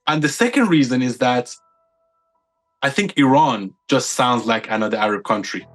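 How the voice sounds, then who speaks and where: A man's voice: medium pitch, lively intonation, normal speed, faint music behind. A man from the United Kingdom, a podcast guest giving his opinions in casual chat, stacking up points with repeated phrases.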